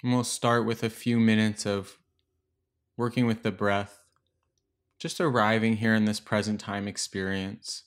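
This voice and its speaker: Male meditation guide, fairly low voice, gentle instructional delivery with spacious pauses. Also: little pitch movement, clear, resonant tone.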